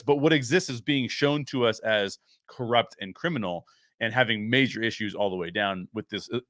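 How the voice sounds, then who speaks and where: A man's voice: lowish voice, a bit bright, no room sound, studio quality. A man hosting a pop-culture show, giving conversational, opinionated commentary on film in a reasoned way, thinking aloud with asides.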